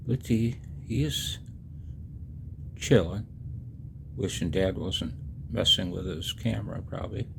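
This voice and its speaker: A man in his sixties giving a personal voice-over announcement, halting and reflective, with a husky low voice. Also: expressive, a little hiss or hum. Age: 60s. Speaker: amateur radio hobbyist and video narrator. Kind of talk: personal voice-over announcement, partly read from own text.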